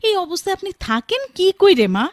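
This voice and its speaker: An actress playing a devoted, bossy young village wife with a rural Bangladeshi accent in a Bengali radio drama. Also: female voice, extremely high pitch, big pitch swings, fairly quick, dry recording. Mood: bossy, caring. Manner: affectionate scolding in rustic dialect.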